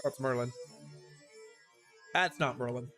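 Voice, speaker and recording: A man's voice: mid-range voice, unhurried. A man giving casual livestream commentary on a game, reacting with puzzled asides and repeating his phrases. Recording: music behind the voice.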